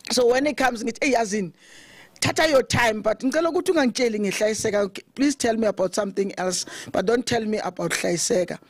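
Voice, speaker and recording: A middle-aged South African woman speaks at a podium mic during an outdoor funeral. Her voice is loud and conversational, mixing Zulu into her English, at a middling pitch. The sound is close and dry. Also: no room sound. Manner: loud, conversational, code-switching. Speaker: eulogy speaker, committee chair. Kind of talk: funeral tribute speech. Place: open thatched shelter outdoors.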